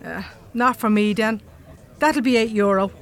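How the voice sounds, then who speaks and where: A woman's voice: mid-range voice, measured pace, lightly acted. A woman playing a flirtatious, chatty barmaid with an Irish accent in a radio drama, playful and teasing.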